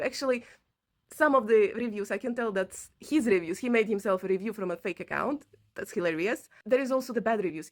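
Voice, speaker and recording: Woman telling her personal story. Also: fairly high pitch. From Latvia. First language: not English. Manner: earnest, non-native phrasing. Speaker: vlogger telling own story. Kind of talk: personal account to camera, conversational.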